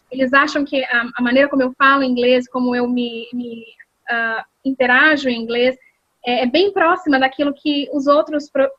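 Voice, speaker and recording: A high-pitched Brazilian woman speaks over a video call, answering at length and pausing often to search for words. Her voice sounds dry and close, with a slightly dull, lo-fi tone. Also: fairly bright tone, no echo. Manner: thoughtful, many fillers and restarts. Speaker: executive secretary and English teacher. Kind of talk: interview answers.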